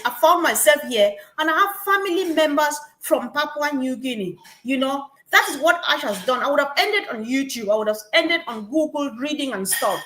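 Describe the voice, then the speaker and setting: A woman from Africa, speaking at a gathering in an animated way, repeating herself and laughing between points. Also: high-pitched. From West Africa. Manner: animated, repetitive, laughing asides. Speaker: guest speaker. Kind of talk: passionate testimony at a gathering. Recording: echoey room.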